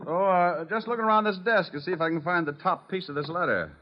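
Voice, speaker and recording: A man's voice: high voice, expressive, fast. An actor playing a cool, wisecracking male private detective in a 1940s radio drama, breezy and needling. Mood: curious, persuasive. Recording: muffled old radio recording.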